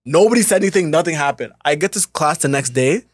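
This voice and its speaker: A young man, a podcast co-host, telling casual stories with animated voices for the people he quotes. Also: on the high side, fast, no room sound, clean studio sound. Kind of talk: casual storytelling.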